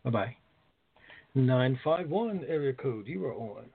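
A man's voice: medium pitch, animated, measured pace, vocal fry, breathy edge. A man hosting a conference call, making informal announcements and answering callers over a phone line. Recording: phone-like narrow-band conference call audio.

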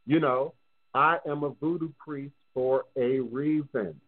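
A man with a New Orleans accent holds forth on a live call-in show. He talks at a middling pitch with emphatic repetition, and his voice comes through thin and boxy, like a phone line. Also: takes it slowly. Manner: emphatic, repeats phrases for stress. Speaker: radio show host and voodoo priest. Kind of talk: live call-in radio talk.

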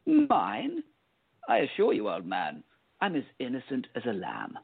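A man playing a pompous, jovial, sly gentleman in a radio play, speaking in an affected, genteel, condescending way. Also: mid-range voice, wildly swooping pitch, unhurried, gravelly, husky, no echo, heavily acted. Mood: mock innocence. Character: pompous, jovial, sly gentleman time traveler, full of himself. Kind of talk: hobby radio play acting. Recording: dull lo-fi audio.

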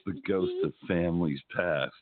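A man's voice: low voice, moderate pace, phone-quality sound. A man chiming in with wisecracks during a casual radio chat. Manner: wisecracking from the side. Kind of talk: casual banter.

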